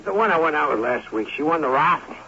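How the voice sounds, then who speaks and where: A man's voice: a little high, lively intonation, fast talker, sharp tone. An actor playing an earnest, skeptical young man in a radio drama, with a hesitant, questioning manner. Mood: flustered, lovestruck. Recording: dull, noisy old radio recording.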